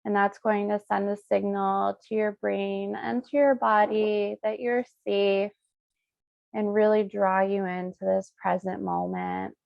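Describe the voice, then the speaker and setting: A woman leading a guided meditation as a Reiki practitioner, speaking soothingly in short, unhurried phrases with pauses. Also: medium pitch, gravelly, dry recording, muffled recording.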